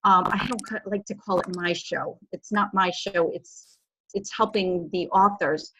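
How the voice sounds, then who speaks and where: A woman's voice: mid-range voice, steady tone, measured pace, sharp tone, slight background noise. A woman hosting a panel on podcasting, chatty and repeating her points. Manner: chatty, repeats questions for emphasis. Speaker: podcast host and panel moderator. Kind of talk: casual panel discussion. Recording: lo-fi dull audio.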